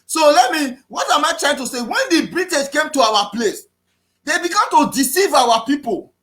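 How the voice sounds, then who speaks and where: A man with a Nigerian accent giving an impassioned political talk, repeating himself and often raising his voice to a shout. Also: very high voice, very animated, normal speed. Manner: preachy, repetitive, often shouting. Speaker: activist speaker.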